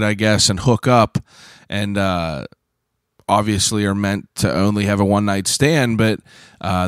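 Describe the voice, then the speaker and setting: A man in his thirties from Pittsburgh, hosting a podcast in a casual, rambling solo talk, hoarse from shouting, swearing freely and filling gaps with "uh". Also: lowish voice, no room sound, studio quality. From Pittsburgh, USA. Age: 30s. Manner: rambling, profane, self-deprecating; frequent "uh" fillers and throat clearing. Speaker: podcast host.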